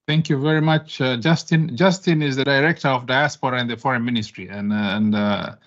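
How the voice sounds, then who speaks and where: A man's voice: mid-range voice, fairly even intonation, brisk, a bit bright, muffled recording. A man hosting an online embassy meeting, switching between Swahili and English with many fillers. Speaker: embassy host and moderator.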